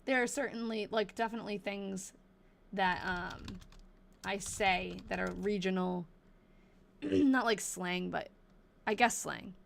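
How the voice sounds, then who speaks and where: A young woman with a New England accent, chatting casually on a live stream as she reads an old diary aloud slowly and thinks out loud. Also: mid-range voice, gravelly. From New Hampshire. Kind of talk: casual livestream chat and slow diary reading.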